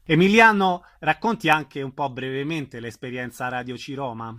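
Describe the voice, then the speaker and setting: A man's voice: medium pitch, normal speed, pushed and bright. A man hosting a conversational radio interview, putting questions to his guest.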